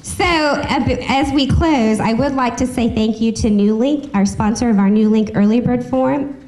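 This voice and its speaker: Higher-pitched woman hosting a meeting. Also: normal speed, darkish tone. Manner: chatty, addressing a group. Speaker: chamber of commerce host. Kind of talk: informal announcements to a business meeting.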